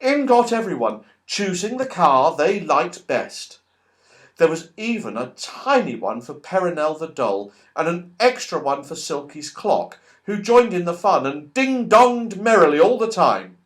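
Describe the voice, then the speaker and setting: A man narrating a children's story in an expressive, playful way, exclaiming over events as an audiobook reader. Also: fairly high pitch, measured pace.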